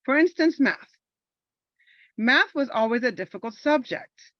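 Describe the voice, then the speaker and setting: Woman veteran from Los Angeles, Spanish first language, earnest reflective monologue, slightly high voice. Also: animated, a little slow, no echo, muffled recording. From Los Angeles, California. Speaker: army veteran performing a monologue. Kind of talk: personal monologue performance.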